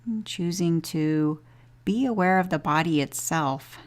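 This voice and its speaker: A woman with a slightly low voice guides a body scan meditation. She speaks very slowly and gently, leaving long pauses between phrases as she gives each instruction.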